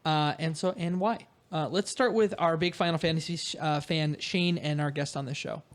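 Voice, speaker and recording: A man's voice: a little high, steady tone, normal speed. A man hosting a podcast in a casual, jokey, slightly self-mocking chat style. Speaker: podcast host.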